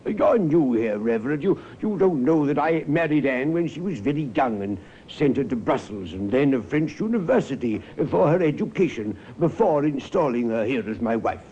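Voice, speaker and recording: Pompous, mellow old Edwardian gentleman, played by a man. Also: mid-range voice, expressive, close to an everyday voice. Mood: self-satisfied. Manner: pompous, deliberate, hesitating for effect. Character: well-off, opinionated elderly Edwardian gentleman and husband. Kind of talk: 1950s radio drama acting. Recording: muffled, hissy old radio recording.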